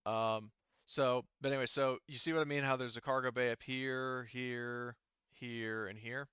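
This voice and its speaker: A man running a tabletop roleplaying game, explaining casually and thinking out loud as he goes, often repeating himself. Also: medium pitch, fairly even intonation, normal speed, smooth, no echo, dull audio. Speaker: game master.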